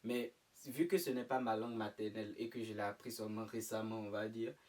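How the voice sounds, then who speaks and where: A man's voice: lowish voice, fairly even intonation, brisk, a little mellow, far from the mic. Young man speaking a bit off-mic. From Côte d'Ivoire. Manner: hesitant, many fillers and restarts. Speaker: interview guest. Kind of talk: casual interview answers.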